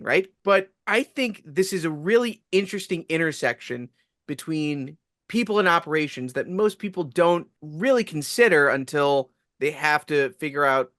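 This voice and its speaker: Guy hosting an interview, kinda high voice, dry close sound, asks reflective questions. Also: fairly bright tone, dry recording. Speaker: podcast host. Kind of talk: casual interview.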